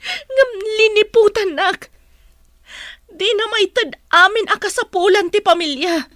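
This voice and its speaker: A very high, dry-recorded female voice performed by an actress plays a wounded wife in a radio drama. She is plaintive and emotional, yet resolute about leaving a husband who cheated on her. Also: unhurried, a bit bright, no echo. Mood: tearful, defiant. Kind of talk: Philippine radio-style drama acting.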